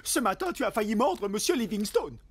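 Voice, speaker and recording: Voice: medium pitch, very animated, brisk, husky. An actor voicing an anxious, naive small pet animal in a cartoon, earnest and pleading. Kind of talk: cartoon dub acting.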